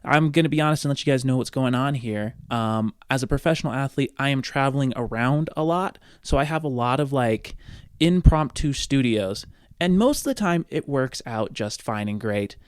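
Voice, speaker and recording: A man's voice: medium pitch, moderate pace. A man, chatty and informal as he hosts and narrates a tabletop role-playing podcast. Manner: chatty, informal, addressing listeners directly. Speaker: host and game master. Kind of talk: casual podcast talk and tabletop game narration.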